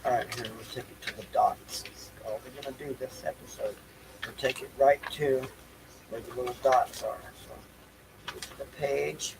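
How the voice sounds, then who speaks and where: A man's voice: medium pitch, steady tone, moderate pace, vocal fry, noisy background. A man hosting a livestream in rambling, unscripted talk, loosely talking things through to himself and repeating phrases. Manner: loose, talks to himself while setting up. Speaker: livestream host.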